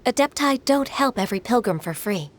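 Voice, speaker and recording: A woman voicing a gentle, dutiful, reserved half-spirit maiden in a game, polite and soft-spoken. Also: a little high, lively intonation, brisk, a little hiss or hum, lightly acted.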